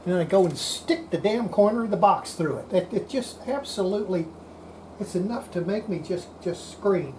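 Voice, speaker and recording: A woman's voice: medium pitch, animated, moderate pace, dark tone, slight background noise, poor audio. A woman hosting a repair video, talking casually to herself as she works, exasperated and mildly swearing. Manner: exasperated, mutters to self, mild swearing. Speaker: host of a radio repair video. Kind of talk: casual talk while working at a repair bench.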